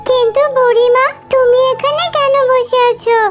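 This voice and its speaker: A woman's voice: very high voice, steady tone, normal speed. A woman voicing an innocent, eager little fox girl in a children's cartoon, with a childlike, pleading, chatty way of talking. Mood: sweet, eager. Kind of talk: Bengali children's cartoon voice acting. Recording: music playing behind the voice.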